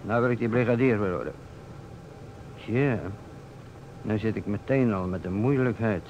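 A man's voice: fairly low pitch, normal speed, a little mellow, lightly acted. An actor playing a dry, probing police inspector, a man with a curt questioning manner, in an old radio play. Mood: dry, resigned. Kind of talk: vintage Dutch radio play acting. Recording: dull, noisy old radio recording.

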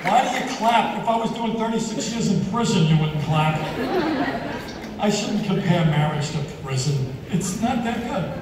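A man in his sixties doing a stand-up comedy routine on a theater stage, with a deadpan, self-mocking delivery. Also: high voice, moderate pace, very noisy. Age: 60s. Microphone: handheld mic, filmed from far in the audience. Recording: echoey hall with crowd, from far off. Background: audience laughter and applause.

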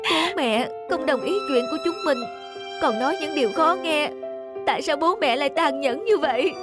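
An earnest young woman, a lovestruck daughter in a cartoon played by a voice actress. Her fairly high voice is heard over clear music. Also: lively intonation, normal speed. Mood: upset. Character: earnest lovestruck female student, defiant daughter. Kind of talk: cartoon dub acting.

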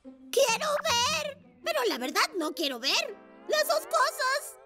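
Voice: extremely high pitch, big pitch swings, takes it slowly, heavily acted. A performer voicing a playful, self-assured little girl motorbike in a Spanish-dubbed children's cartoon, exclamatory and cheeky, with music behind. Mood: excited. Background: loud children's music.

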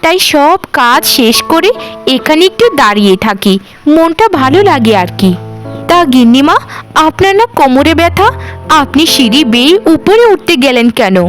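A woman's voice: sits high, expressive, moderate pace, faint music behind. A woman voicing a stubborn but caring young housemaid in a cartoon, with an argumentative manner. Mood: gentle. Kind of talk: cartoon voice acting.

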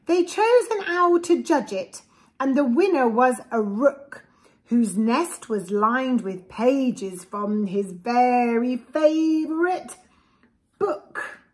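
Preschool storyteller: English woman, fairly high voice, very unhurried, expressive picture-book reading. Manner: expressive, deliberate, with simple explanatory asides. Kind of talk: reading a rhyming picture book aloud to young children. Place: small indoor room with bookshelves. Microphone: camera a short distance away.

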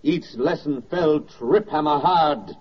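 A man performing as a solemn, declamatory narrator of a wartime radio drama, with dramatic pauses. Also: a little high. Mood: grave, biblical. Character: solemn, oratorical male narrator of wartime victory. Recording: dull, band-limited 1940s radio record with music.

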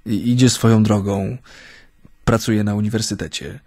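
A man's voice: fairly low pitch, fairly even intonation, darkish tone, no echo, clean studio sound, natural voice. An actor playing an ailing, middle-aged Japanese man settled in Poland, courteous and reflective, speaking hesitantly in a radio drama. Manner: halting, hesitant, searching for words. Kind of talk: naturalistic radio drama acting.

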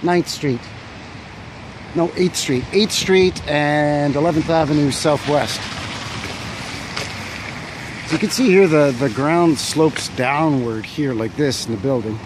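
A man's voice: on the high side, slow, long pauses. Man musing aloud on a windy street. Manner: musing, unsure, thinks aloud with many fillers. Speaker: vlogger exploring old buildings. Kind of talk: casual walking narration. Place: outdoors on a windy city street in winter. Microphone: handheld phone camera. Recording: wind and street noise behind.

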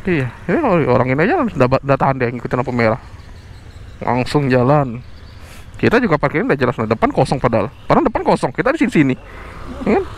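A man's voice: mid-range voice, sing-song, fast. Chatty motovlogger man on a night ride. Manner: chatty, joking, addresses viewers as bro. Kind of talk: casual riding vlog chat. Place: on a motorbike on night city streets. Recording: faint road noise from a helmet camera.

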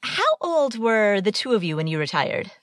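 Woman, from Ohio, podcast host, expressive pitch, dry close sound. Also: moderate pace, no room sound. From Ohio, USA. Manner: lively, expressive questioning. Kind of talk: scripted intro and interview questions.

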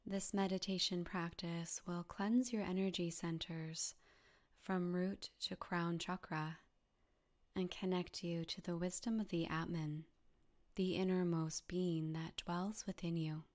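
A woman softly guiding a meditation in a breathy, half-whispered voice, calm and soothing, with gentle instructions and long pauses. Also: lowish voice. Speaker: yoga nidra meditation guide. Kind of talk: guided meditation narration.

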